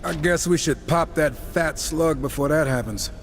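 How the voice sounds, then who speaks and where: An actor playing a cynical, roguish gunslinger in a video game, with a casual, sarcastic, wry delivery. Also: male voice, a little high, normal speed, a little hiss or hum, close to an everyday voice. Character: wisecracking, cynical gunslinger horseman, roguish brother.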